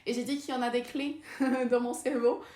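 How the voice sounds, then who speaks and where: A woman's voice: fairly high pitch, level tone, fairly quick, darkish tone. A young woman with a Brazilian Portuguese accent, a native Portuguese speaker, talking casually to camera in French, hesitant, with many fillers and restarts. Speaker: vlogger. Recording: echoey room.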